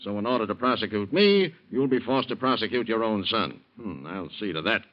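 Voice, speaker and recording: A man's voice: lowish voice, expressive, a little fast, lightly acted. A man acting a smug, bullying American racketeer in a vintage radio crime play. He taunts in tough slang, with a sneer and total confidence. The recording is dull and lo-fi, like an old broadcast. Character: cocky scheming crook boss, bullying and sneering.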